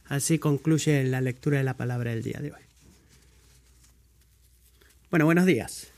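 A man reading scripture aloud and then preaching a sermon in a measured, pausing way, stopping now and then to correct his own slips. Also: medium pitch, a little mellow.

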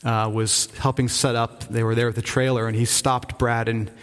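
A man's voice: medium pitch, little pitch movement, fairly bright tone, smooth. A man from Rhode Island, a pastor, giving an earnest, informal update from the pulpit, often restarting his sentences. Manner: earnest, enthusiastic, halting with frequent restarts. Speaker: visiting church-planting pastor. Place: church sanctuary. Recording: long reverb of a church hall.

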